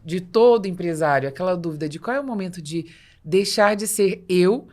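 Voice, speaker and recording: A Brazilian woman speaks as a podcast host in a somewhat low register. She is conversational and articulate, framing questions and explaining ideas in an affirming interviewer's manner. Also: expressive, normal speed, darkish tone. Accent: Brazilian Portuguese.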